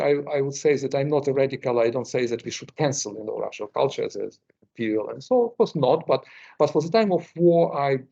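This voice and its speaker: Gravelly older man with a Ukrainian accent. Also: mid-range voice, dark tone. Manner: hesitant, with frequent fillers and repeated words. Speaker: guest lecturer. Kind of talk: academic seminar talk. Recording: muffled lo-fi recording.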